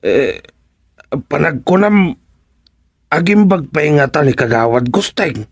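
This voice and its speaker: Male actor, husky gravelly voice, sly hesitant councilor, Ilocano accent, radio drama, dry close sound. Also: on the high side, sing-song, dry recording, heavily acted. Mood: nosy, hesitant. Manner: hemming, halting, evasive. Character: scheming, hesitant male village councilor angling for higher office. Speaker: village councilor.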